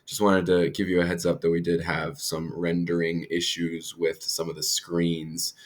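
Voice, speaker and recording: A man's voice: sits low, completely flat delivery, normal speed. A man hosting a podcast over a video call, giving an upbeat, casual introduction with fillers. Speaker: podcast host.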